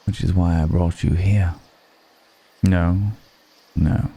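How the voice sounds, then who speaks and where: Man voicing a very deep, slow, brooding vampire. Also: steady tone, heavily acted. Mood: intimate, reassuring. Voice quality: very deep, dark, creaky murmur. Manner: slow, measured, poetic, pausing between phrases. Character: brooding undead male vampire, solemn, melancholic. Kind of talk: intimate ASMR roleplay monologue.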